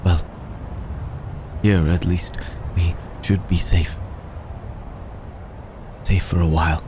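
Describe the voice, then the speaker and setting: Man acting as loyal humble manservant, deep low voice, halting and reverential, formal wording, ASMR roleplay drama, noticeable storm noise behind. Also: level tone. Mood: subdued, reverent. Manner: halting, deferential, formal phrasing. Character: humble, devoted male servant of an exalted undead ruler. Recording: clear background storm ambience noise.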